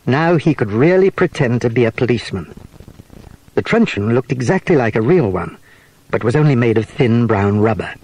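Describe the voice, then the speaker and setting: A man's voice: mid-range voice, animated, moderate pace, darkish tone, low-quality recording. A man narrating a children's story as a scripted, dramatised audiobook.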